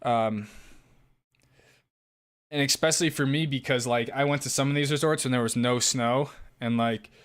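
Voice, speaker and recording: A young man hosting a live stream, reading chat and answering in a relaxed, casual way, laughing often and swearing now and then. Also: mid-range voice. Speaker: livestream host reading chat.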